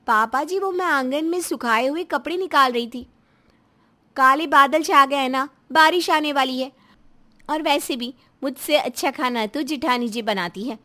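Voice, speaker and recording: Lady playing young caring daughter-in-law, really high voice, earnest, pleading, family audio drama. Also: moderate pace, sharp tone. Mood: explaining. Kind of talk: melodramatic Hindi audio story acting.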